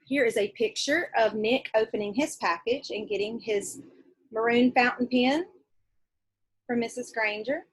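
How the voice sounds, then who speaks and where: A woman reading a children's story aloud like a teacher, expressively, pausing to explain and check in with her young listeners. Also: on the high side, breathy edge, rough recording.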